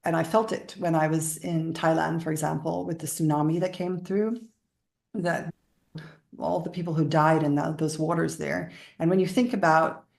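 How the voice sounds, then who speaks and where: A woman's voice: on the low side, vocal fry, a little mellow, roomy sound. A woman from the Bahamas speaking as a guest in a spiritual interview, explaining her practice.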